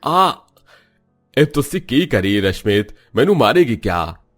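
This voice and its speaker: A man's voice: mid-range voice, big pitch swings, slow, fairly bright tone, soft background music, exaggerated voice. A man playing a weary, reproving Punjabi husband in a cartoon family story. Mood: startled. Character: exasperated, deep-voiced Punjabi husband.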